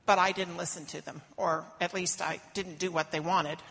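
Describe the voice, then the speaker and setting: A woman's voice: fairly low pitch, steady tone, normal speed, fairly bright tone, husky, big-room sound. A woman acting out a desperate foreign mother who pleads for her sick daughter, telling her story earnestly in the first person. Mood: defiant. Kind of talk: dramatic first-person monologue in church.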